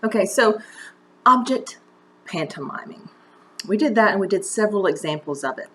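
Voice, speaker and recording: A woman's voice: medium pitch, animated, normal speed, a bit bright, a little hiss or hum. A woman drama teacher giving a conversational, encouraging step-by-step lesson on a home-recorded video. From United States. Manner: conversational, encouraging step-by-step instruction with direct questions. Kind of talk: instructional video mini-lesson.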